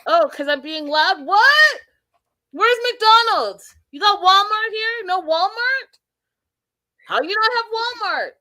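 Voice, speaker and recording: A woman putting on a loud, clueless American tourist who is baffled abroad. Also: extremely high pitch, expressive, slow, voice pushed up, heavily acted. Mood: indignant. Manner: brash, baffled, shouting questions.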